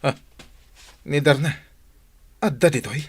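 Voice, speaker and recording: A man playing a troubled young man in a radio comedy drama, confiding earnestly with sudden loud bursts. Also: on the high side, unhurried, slightly rough. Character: young man torn over an arranged engagement.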